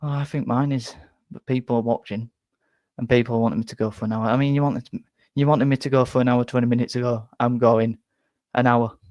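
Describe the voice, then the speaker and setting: A young man with a Yorkshire accent, slightly nasal and flat, chatting casually on a live stream, rambling and stumbling over repeated words. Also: medium pitch, fairly quick, dry recording, studio quality. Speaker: football fan streamer reading chat.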